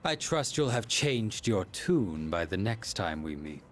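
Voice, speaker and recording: Slow, cryptic, menacing man. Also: fairly low pitch, big pitch swings. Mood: calm, ominous. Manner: formal, measured, soft-spoken at times. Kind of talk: video game voice acting.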